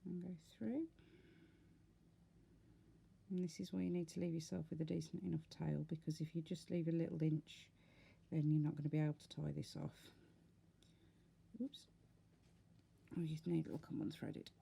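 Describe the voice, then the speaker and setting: A woman's voice: low voice, fairly even intonation, normal speed, gravelly, long pauses, warm tone, a little hiss or hum. A woman giving a casual, step-by-step craft tutorial, talking informally and unhurriedly as she works.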